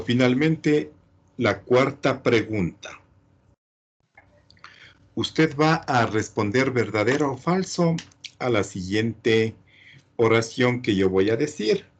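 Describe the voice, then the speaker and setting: A man teaching a class, didactic and often adding short check questions. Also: medium pitch. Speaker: chemistry teacher.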